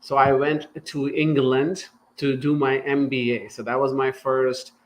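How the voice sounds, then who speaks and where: A man's voice: mid-range voice, little pitch movement, unhurried, slight background noise. A man from Pakistan, a startup founder, talking as a guest in a casual remote interview, earnest and thankful, with loose restarts.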